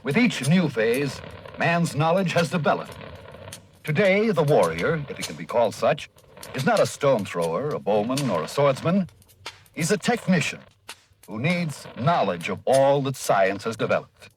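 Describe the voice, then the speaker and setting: A man delivering solemn, measured, oratorical scripted narration as an old-time radio announcer. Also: mid-range voice, very animated. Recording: old radio recording with faint noise.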